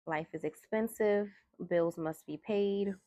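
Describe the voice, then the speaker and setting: A soft-spoken woman doing a tarot card reading, talking in a slow, musing way. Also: mid-range voice, no echo, clean studio sound. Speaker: tarot reader. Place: indoors at a table.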